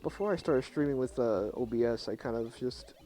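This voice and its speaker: A young man with a low voice, talking quietly and casually to his chat at night while he plays a game. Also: brisk. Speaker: streamer. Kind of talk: casual live game commentary. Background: faint game music.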